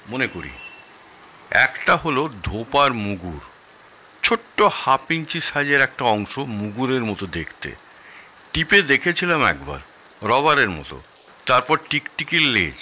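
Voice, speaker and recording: A man's voice: mid-range voice, bright, forceful tone, a little hiss or hum, exaggerated voice. A man acting an opinionated, nostalgic middle-aged father-in-law who loves his food, in a theatrical audio-drama style. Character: grumbling, nostalgic elder Bengali father-in-law, fond of food.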